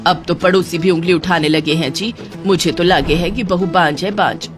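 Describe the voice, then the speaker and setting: A woman's voice: on the low side, steady tone, moderate pace, close to an everyday voice. A woman voicing a nagging, suspicious middle-aged village mother-in-law with a scolding, pointed manner in an acted story. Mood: bitter. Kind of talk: Hindi moral story acting. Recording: music behind the voice.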